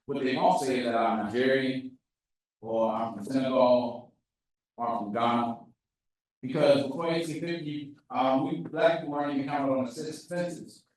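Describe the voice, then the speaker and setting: A man's voice: medium pitch, moderate pace, darkish tone. Casual emcee man in an echoey hall. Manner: casual, chatty, addresses crowd as y'all. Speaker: event host introducing presenters. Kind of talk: live emcee introduction. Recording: echoey hall, distant mic, faint noise.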